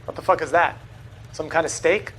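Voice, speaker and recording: High-pitched, brash gangster boss played by a man. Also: steady tone, moderate pace, fairly bright tone, a little hiss or hum, natural voice. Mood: indignant. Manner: taunting, brash. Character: cocky, mocking male gangster boss. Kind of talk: video game acting.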